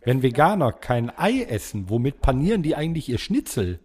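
A man co-hosting a podcast, chatting casually with friends and explaining things in a halting, conversational way. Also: mid-range voice, sing-song, darkish tone. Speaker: podcast co-host. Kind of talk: casual chat among friends.

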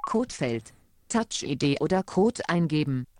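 A man's voice: fairly high pitch, animated, unhurried, darkish tone, dry recording, clean studio sound. A synthetic male screen reader voice announcing menu items in short, clipped phrases.

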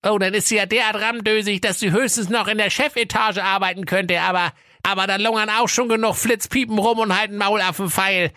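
A man's voice: high-pitched, steady tone, brisk, few pauses, sharp tone, no room sound, clean studio sound, voice pushed up. A man voicing a fussy, bumbling personnel clerk in a comic fairy tale, hesitant and dismissive.

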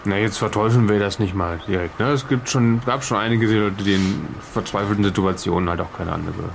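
A man's voice: fairly low pitch, brisk, creaky, a little hiss or hum. A man playing a pragmatic, unruffled questioner of witnesses in a 1920s audio drama, probing casually. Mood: curious, dry. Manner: questioning, tags sentences with "ne?". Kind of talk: in-character audio drama retelling.

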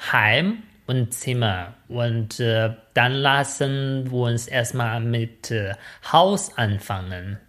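Slow-talking woman with a Chinese accent. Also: a bass voice, a bit bright. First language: Mandarin Chinese. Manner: slow, hesitant, with frequent filler sounds. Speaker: podcast co-host. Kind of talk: casual podcast chat.

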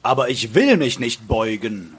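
A man's voice: mid-range voice, sing-song, a little slow, background noise. A man voicing a vain, haughty buckwheat plant in a fairy-tale audio play, boastful and scornful. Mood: scornful, defiant.

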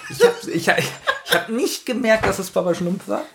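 Male co-host, plenty of vocal fry on a slightly raised pitch. Casual, conversational podcast reviewer. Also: animated, moderate pace, noisy background.

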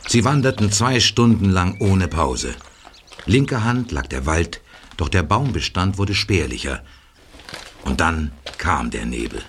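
A very deep male voice narrates a scripted audio drama, with lively rises and falls through its phrases. A faint hiss sits behind him. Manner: measured storytelling.

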